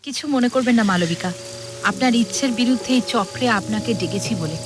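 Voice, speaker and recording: A woman acting a self-assured spirit medium in an audio drama. She is measured and formally polite, her voice fairly high, with music clearly underneath. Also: lightly acted. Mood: composed, determined.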